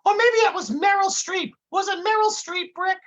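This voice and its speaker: A man voicing a whiny, dim-witted male comedy sidekick who talks in a high, nasal whine. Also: a bit bright, muffled recording. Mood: eager. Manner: high whining, slow-witted.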